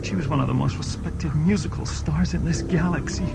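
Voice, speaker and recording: A man's voice: a little high, a little fast, gravelly, music in the background. A man playing a flustered, anxious train official in an audio drama. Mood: shocked.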